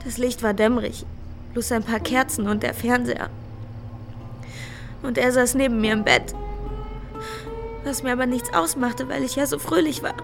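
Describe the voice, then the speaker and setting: An actress playing a desperate, frightened young prostitute on the run in a radio crime drama, emotionally raw and pleading. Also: female voice, fairly high pitch, fairly even intonation, moderate pace, vocal fry, pauses often, music in the background. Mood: subdued, wounded. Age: young adult.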